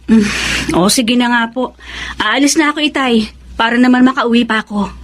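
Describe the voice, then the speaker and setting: An actress playing a dutiful but reluctant grown daughter in a radio drama. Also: female voice, fairly high pitch, moderate pace, lightly acted.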